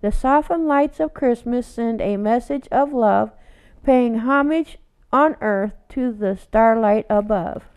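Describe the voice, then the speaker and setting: A woman reading a children's picture book aloud, adding casual asides in a gentle, unhurried read-aloud manner. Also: a little high, clear, resonant tone. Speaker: storytime reader.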